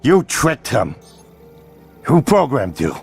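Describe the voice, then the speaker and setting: An actor playing an indignant, controlling brother in a video game, with a scornful, emphatic, accusatory delivery. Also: male voice, mid-range voice, very animated, slow pace, vocal fry, breathy edge, faint music behind, full character voice. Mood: outraged. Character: indignant, controlling elder brother confronting a machine. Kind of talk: scripted video game acting.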